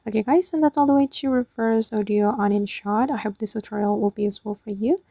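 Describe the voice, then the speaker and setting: A woman's voice: a little high, quick pace, creaky, heavy background noise. A woman hosting a casual step-by-step app tutorial, explaining it off the cuff in a slightly hesitant way. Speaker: tutorial host.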